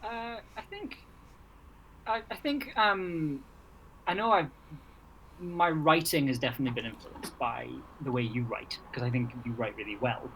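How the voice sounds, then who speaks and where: A man's voice: medium pitch, moderate pace, a bit bright, slight background noise, poor audio. A man chatting casually as a livestream guest, starting hesitantly and speaking in a self-deprecating, admiring way over a video call. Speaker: guest, writer.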